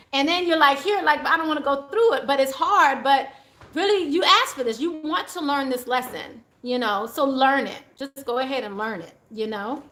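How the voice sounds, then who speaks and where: A woman's voice: sits high, moderate pace, a bit bright, reverberant. A woman giving a casual, chatty tarot reading to her viewers, laughing often as she goes. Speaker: tarot reader.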